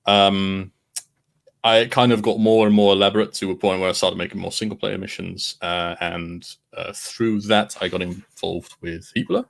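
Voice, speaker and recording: Deep gravelly laid-back podcast guest man. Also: fairly even intonation, normal speed. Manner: laid-back, trailing off quietly, self-deprecating. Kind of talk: casual chat.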